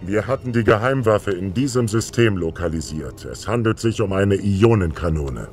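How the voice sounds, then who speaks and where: Ein Mann spielt einen ruhigen, autoritären außerirdischen Jedi-General in einem Zeichentrick-Hörspiel. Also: male voice, lowish voice, animated, moderate pace, darkish tone, soft background music. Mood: grave. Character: calm, authoritative male alien Jedi general, caring leader. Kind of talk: German animated-series audio drama dubbing.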